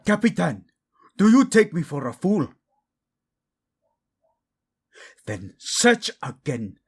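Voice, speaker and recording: A man's voice: on the high side, big pitch swings, measured pace, husky, exaggerated voice. A man acting a passionate, protective male royal in a romantic audio drama, devoted to his queen, intimate and commanding, using Spanish endearments. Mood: furious, commanding. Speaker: voice actor. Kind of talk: romantic audio roleplay drama addressed to the listener.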